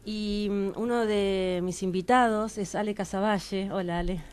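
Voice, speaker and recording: A woman's voice: medium pitch, fairly even intonation, moderate pace, a little mellow, clear, resonant tone. A woman with an Argentine accent, a radio columnist introducing guests in an informal, affectionate conversational style.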